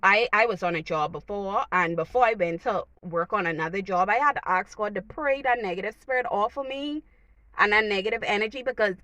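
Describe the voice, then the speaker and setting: A woman's voice: mid-range voice, no echo. A young woman with a Bahamian accent giving casual advice to her viewers, emphatic and repeating herself for stress. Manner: animated, preachy, repeats phrases for emphasis. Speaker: vlogger. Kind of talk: casual advice talk to camera.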